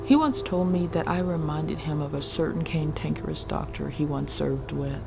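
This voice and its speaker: A woman playing a thoughtful, questioning Romulan woman, speaking in a measured, deliberate way in a sci-fi audio drama. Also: sits low, gravelly, over music. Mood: fond, curious.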